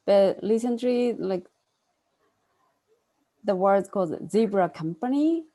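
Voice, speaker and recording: A woman's voice: medium pitch, animated, takes it slowly, mellow. A woman answering as a panelist in an online discussion, searching for words with many fillers.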